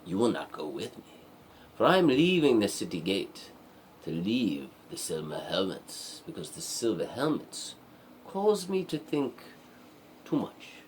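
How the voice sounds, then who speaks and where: A man's voice: fairly low pitch, huge pitch swings, unhurried, slight background noise. A man voicing a proud young princess with dramatic wonder in an improvised story. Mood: defiant. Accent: put-on Spanish.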